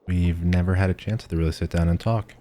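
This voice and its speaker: A man's voice: sits low, fairly even intonation, fairly quick, mellow, slight background noise, natural voice. A man playing a friendly, eccentric village alchemist shopkeeper in a fantasy audio roleplay, chatting eagerly to the listener with musing hums. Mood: friendly, inviting.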